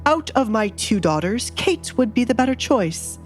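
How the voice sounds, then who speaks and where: A woman's voice: on the high side, big pitch swings, normal speed, faint music behind, voice pushed up. Woman voicing a formal, anxious, fawning baron. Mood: nervous. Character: nervous, fawning middle-aged baron, father. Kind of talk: audio drama storytelling.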